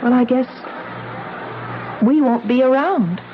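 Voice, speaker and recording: A woman's voice: high voice, takes it slowly. An actress playing an earnest, uneasy small-town woman of almost thirty in a 1970s radio drama. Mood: troubled, resigned. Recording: muffled, noisy 1970s radio broadcast recording.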